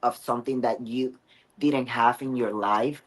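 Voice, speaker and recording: Puerto Rican woman, middle-aged, native Spanish speaker, very deep voice, halting earnest interview chat. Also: steady tone, poor audio. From Puerto Rico. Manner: earnest, halting phrasing with frequent fillers. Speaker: guest athlete.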